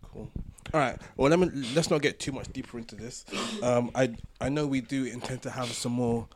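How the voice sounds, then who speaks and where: A man's voice: medium pitch, brisk, a crowd in the background. A man chatting casually as a podcast co-host. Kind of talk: casual chat.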